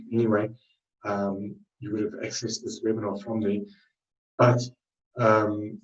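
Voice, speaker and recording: A man's voice: mid-range voice, a little mellow, roomy sound, far from the mic, muffled recording. A man with a South African accent presenting a trading webinar, rambling loosely and correcting himself as he goes. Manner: loose, rambling delivery with frequent self-corrections.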